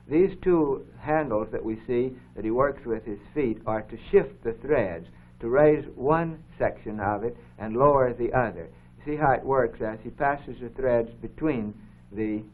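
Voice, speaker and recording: A man giving formal, measured explanatory commentary as co-host of an educational program, on a 1950s broadcast recording. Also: medium pitch, narrow, tinny audio. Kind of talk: unscripted explanatory commentary over film footage.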